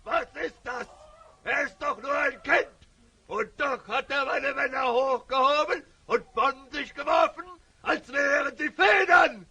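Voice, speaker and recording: A man playing a menacing, blustering robber chief in a fantasy audio drama, with a gravelly, sharp voice and a taunting, theatrical delivery. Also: very high-pitched, a little slow, heavily acted. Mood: panicked. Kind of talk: German children's fantasy audio drama acting.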